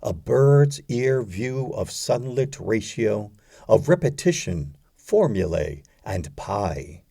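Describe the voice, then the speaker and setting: A man narrating a poetry audiobook, reciting scripted verse in a measured, deliberate way. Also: medium pitch, gravelly, dark tone, husky, no room sound, clean studio sound.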